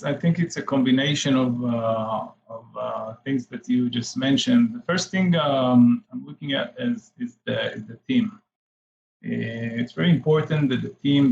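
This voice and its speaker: A man's voice: medium pitch, gravelly, darkish tone, slight background noise. Hesitant Israel-born man, muffled sound. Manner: hesitant, many fillers. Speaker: venture capital investor guest. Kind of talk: interview answers.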